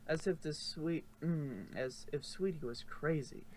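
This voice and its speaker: A man's voice: a little high, a little slow, darkish tone. A man reading a fan fiction story as narrator in an amateur style, stumbling and correcting himself. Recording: noisy amateur home recording.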